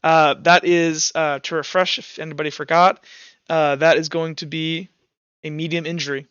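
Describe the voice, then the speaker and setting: A man with a bright, high voice runs a tabletop game as game master. He talks through rules and describes the action in a halting, self-correcting flow. The sound is dry, with the slightly dulled quality of an online voice chat. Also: flat delivery, clean tone, no room sound, dull audio. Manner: halting, repeats and restarts phrases. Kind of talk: improvised tabletop game narration and rules talk. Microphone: voice call over Discord.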